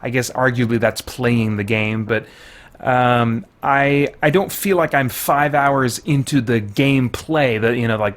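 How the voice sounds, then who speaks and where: A man with a mid-pitched voice hosts a video game review. He speaks conversationally, working through his opinions aloud and qualifying them as he goes. The delivery is casual commentary.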